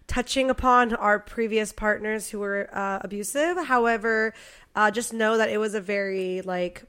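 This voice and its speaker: A young woman co-hosting a casual podcast, her voice raspy and a little nasal from a cold, laughing and teasing often. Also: mid-range voice, fairly even intonation, moderate pace, fairly bright tone. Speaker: podcast co-host. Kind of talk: casual chat.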